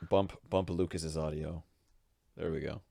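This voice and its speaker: A man with a very deep, creaky voice chats casually as a podcast co-host. He keeps up playful, teasing banter with his friends. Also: steady tone, a little slow, mellow, no echo, studio quality. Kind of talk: casual group chat about pop culture.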